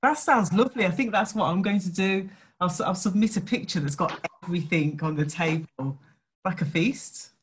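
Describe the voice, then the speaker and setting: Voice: mid-range voice, a little fast, slight background noise. An interviewer on a video call who asks short, friendly questions.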